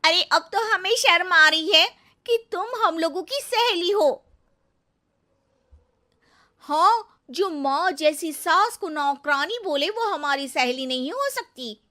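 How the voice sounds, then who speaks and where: An exclaiming young city woman from a cartoon tale, acted by a female narrator. She is chatty and effusive, with a high, bright voice that carries forcefully. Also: expressive, takes it slowly, exaggerated voice. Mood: shocked, reproachful. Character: chatty young city women, the daughter-in-law's friends.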